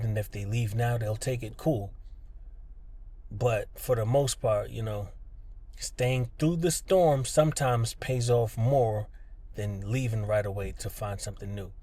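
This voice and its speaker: A man's voice: mid-range voice, a little mellow, breathy edge, dry recording. A man hosting a motivational podcast in a conversational, earnest, plainspoken way, speaking directly and emphatically to the listener. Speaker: podcast host, freelancer.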